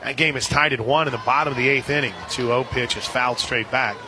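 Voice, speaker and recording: A man calling softball play-by-play on the radio, conversationally filling in scores and details between pitches. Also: fast talker. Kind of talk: live softball radio commentary. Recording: outdoor stadium crowd behind the voice. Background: crowd noise.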